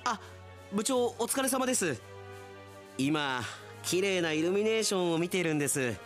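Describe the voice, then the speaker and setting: A man playing an earnest, sentimental young railway station worker in a theatrical, expressive radio drama style. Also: sits high, normal speed, music in the background. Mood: wistful, polite.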